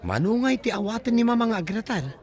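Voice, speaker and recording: A man's voice: sits high, very animated, quick pace, some chatter behind. A man acting an impatient, money-minded grumbler in a comedy audio drama, whiny. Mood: grasping.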